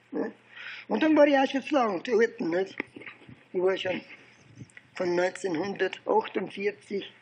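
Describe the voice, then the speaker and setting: An elderly man with an Austrian accent and a husky voice, telling his recollections informally in a halting, digressive way with repeated phrases. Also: sits high, slight background noise, low-quality recording. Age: 70s or older. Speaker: interviewee recounting political and research past. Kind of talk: informal oral history storytelling.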